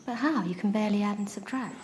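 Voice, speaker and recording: An actress playing a tender but practical aristocratic widow in a period drama, speaking with gentle restraint. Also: female voice, mid-range voice, quick pace, slight background noise, close to an everyday voice. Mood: doubtful. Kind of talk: period television drama acting.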